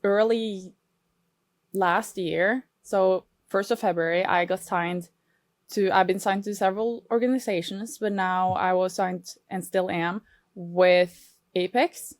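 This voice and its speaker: A woman's voice: medium pitch, steady tone, slow pace, no room sound. A Norwegian woman in her twenties, a podcast guest, speaking English with a Norwegian accent in an earnest, reflective way. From Norway. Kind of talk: casual podcast interview.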